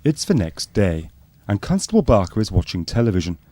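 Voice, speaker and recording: A man playing a straight-faced, matter-of-fact docu-soap narrator in a radio spoof, reading scripted narration deadpan. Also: on the low side, brisk, no echo.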